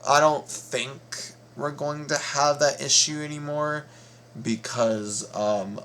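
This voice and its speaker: A man hosting a casual reaction vlog, talking haltingly with repeated words and stammers. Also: on the high side. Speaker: reaction video host. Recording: steady background noise.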